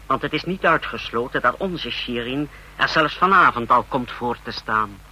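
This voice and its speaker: Brief: a keen subordinate officer, deferential yet scheming, mid pitch with a tense, sharp edge. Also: male voice, lively intonation, moderate pace, breathy edge, exaggerated voice, low-quality recording. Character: eager male officer under the spymaster. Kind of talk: vintage radio drama acting.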